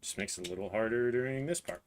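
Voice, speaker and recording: A hoarse man in his thirties livestreaming a printer build, talking haltingly as he searches for words and clears his throat. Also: mid-range voice, a little hiss or hum. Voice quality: voice cutting out, clears throat often. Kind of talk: live build stream, casual talk while working.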